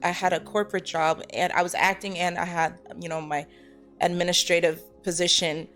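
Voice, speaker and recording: Candid young woman, lower-pitched voice. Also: level tone, a little fast, fairly bright tone, faint music behind. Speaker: guest actress being interviewed. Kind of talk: casual interview chat.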